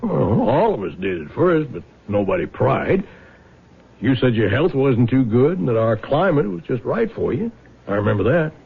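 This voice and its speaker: Gravelly folksy small-town lawman. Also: medium pitch, sing-song, moderate pace, exaggerated voice. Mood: folksy, friendly. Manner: blunt, folksy. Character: gruff, folksy small-town lawman, older family man. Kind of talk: radio drama acting. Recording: tinny, narrow old radio broadcast.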